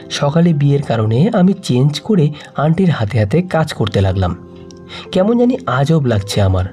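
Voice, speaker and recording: A man reads a sad story aloud in a soft, sorrowful voice. His voice sits fairly high for a man and rises and falls widely. The tone is mellow, with faint music underneath. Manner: soft, sorrowful first-person reading. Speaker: audio story narrator. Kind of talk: scripted storytelling narration.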